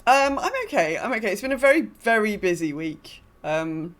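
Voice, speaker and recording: A woman's voice: mid-range voice, lively intonation, moderate pace. A woman chatting casually as a podcast co-host. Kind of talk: casual chat.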